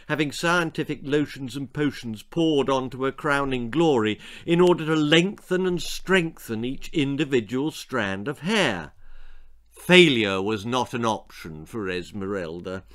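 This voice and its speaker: A man in his sixties reading a children's story aloud with dramatic, expressive narration, savouring the words. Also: mid-range voice. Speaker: author reading own stories. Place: small book-lined room indoors.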